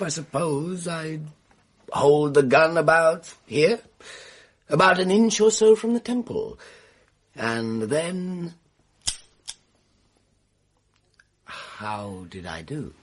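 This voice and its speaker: A man's voice: fairly high pitch, big pitch swings, takes it slowly, a little hiss or hum. An actor playing an evasive, theatrical male murder witness in a radio drama, with an arch, hesitant manner. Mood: wry.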